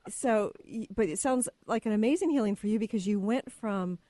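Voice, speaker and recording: A woman hosting a radio talk show, chatting casually with a guest, often pausing with fillers. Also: mid-range voice, warm tone, dry recording. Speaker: radio talk show host and energy healer. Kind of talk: casual interview chat.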